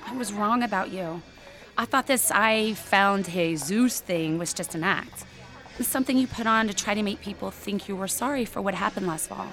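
A woman playing a blunt, gossipy high school girl in an audio drama, halting, conversational and sardonic. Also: a bit bright, soft background music, lightly acted. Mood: sheepish.

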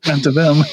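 A man hosting a podcast, introducing his guest and prompting him in a relaxed way. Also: on the high side, breathy edge. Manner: relaxed, brief prompts and backchannels. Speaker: podcast host. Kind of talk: casual interview.

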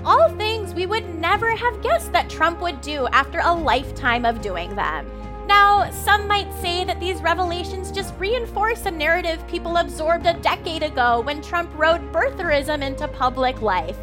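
Self-righteous cartoon news anchor, very high and swooping, emphatic, music underneath. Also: female voice, lively intonation, moderate pace. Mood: righteous, mocking. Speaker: news host. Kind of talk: satirical news commentary.

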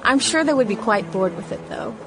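A woman's voice: medium pitch, expressive, a little fast, lightly acted. Lady doing a young woman reading her 1916 diary, wry period radio play, music bed.

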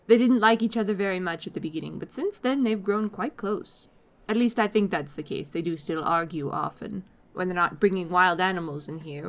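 A woman's voice: mid-range voice, natural voice. A woman acting as a welcoming, gossipy fantasy innkeeper in an audio drama trailer, conversational, wry and confiding.

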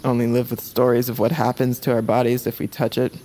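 A man playing a desperate, guilt-ridden bird-folk assassin on the run in a fantasy audio drama, speaking in a halting, intense way. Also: medium pitch, flat delivery, warm tone, natural voice, rough recording. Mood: haunted, halting.

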